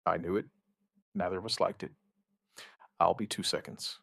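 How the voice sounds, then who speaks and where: Low, gravelly male survivor, acted by a man. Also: fairly even intonation, moderate pace, fairly bright tone, dry recording, studio quality, exaggerated voice. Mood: grim, tense. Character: male outbreak survivor giving a weary, wry witness account. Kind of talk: scripted first-person audio drama narration.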